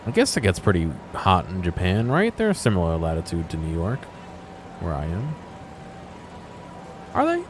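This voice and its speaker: A man's voice: sits low, wildly swooping pitch, normal speed, pauses often, background noise. A man giving casual gameplay commentary as a streamer, musing aloud and often questioning himself. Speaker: video game streamer.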